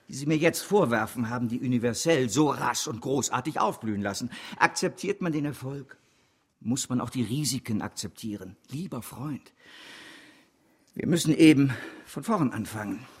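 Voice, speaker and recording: An actor playing a proud, driven speculator and banker in a radio play, husky, theatrical and emphatic. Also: male voice, medium pitch. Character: proud, driven stock-exchange speculator and banker, defiant in ruin.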